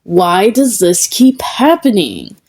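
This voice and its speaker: A woman's voice: mid-range voice, huge pitch swings, takes it slowly, darkish tone, husky, heavily acted. A woman voicing an explosive, grumpy teenage boy in a fan story reading. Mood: exasperated.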